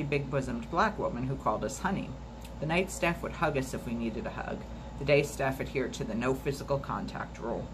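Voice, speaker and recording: A woman reading a memoir aloud for a storytime video at a steady, measured pace, now and then stumbling and restarting a word. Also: extremely low pitch, background noise. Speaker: storytime reader.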